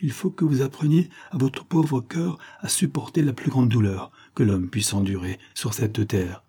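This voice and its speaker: A storybook old nursemaid, acted by a man, kindly and sorrowful. The voice is soft, mellow and husky. The delivery is slow, calm and solemn, full of motherly pity. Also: medium pitch, voice pushed up. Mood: grave, sorrowful.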